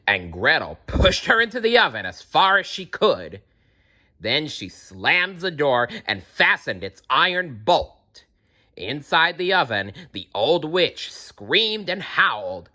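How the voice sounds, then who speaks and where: Animated male storyteller with a bright voice. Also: medium pitch. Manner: animated, playful, reacts to the story. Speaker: storyteller reading aloud. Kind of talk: expressive fairy-tale read-aloud with asides.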